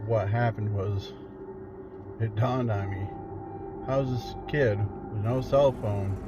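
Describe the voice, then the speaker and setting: A middle-aged man shares a spooky tale in a casual, conversational style. His voice sits somewhat low. The recording is dull and lo-fi, with music playing loudly along with him. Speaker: paranormal investigator telling his own story. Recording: dull lo-fi sound under loud music. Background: loud music.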